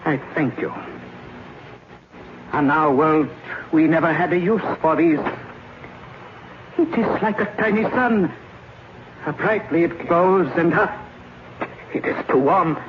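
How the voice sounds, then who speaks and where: Cold, high-voiced alien invader. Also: male voice. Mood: curious. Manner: formal, deliberate speech without contractions. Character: cold, shape-shifting protoplasmic alien invader posing as a man. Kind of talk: 1950s radio drama acting. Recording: noisy, muffled old radio recording.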